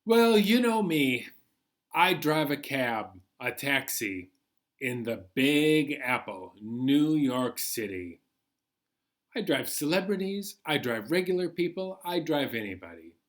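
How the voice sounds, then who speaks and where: Man acting animated, bemused New York cabbie. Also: medium pitch, slow pace. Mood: chatty, world-weary. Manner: colloquial, animated, incredulous. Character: chatty, bemused New York City taxi driver. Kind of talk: first-person picture book read-aloud.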